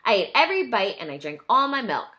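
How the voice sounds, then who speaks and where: A woman's voice: fairly high pitch, sing-song, fast, pushed and bright. A woman teacher reading a picture book aloud to young children, explaining and asking questions along the way.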